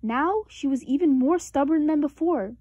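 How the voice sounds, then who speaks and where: High-voiced woman reading a storybook. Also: normal speed, warm tone, no room sound, muffled recording. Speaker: storybook reader. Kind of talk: children's read-aloud narration.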